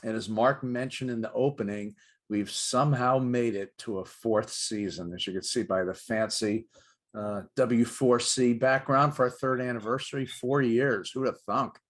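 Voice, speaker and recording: A man's voice: medium pitch, steady tone, moderate pace. A middle-aged or older man co-hosting a sports show, presenting with light banter.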